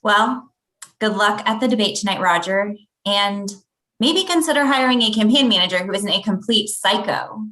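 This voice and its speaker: A woman's voice: medium pitch, lively intonation, moderate pace, pushed and bright. A woman playing a persistent, skeptical thirty-year-old newspaper reporter with a dry, probing manner in a comedy table read. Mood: dry, irritated.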